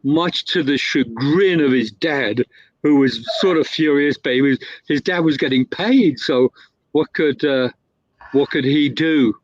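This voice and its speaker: A man's voice: on the high side, measured pace, dry recording. A man telling a long anecdote in a casual, rambling way, full of fillers and restarts. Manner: rambling, with many fillers and self-corrections. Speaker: guest storyteller. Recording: dull, lo-fi, dry sound.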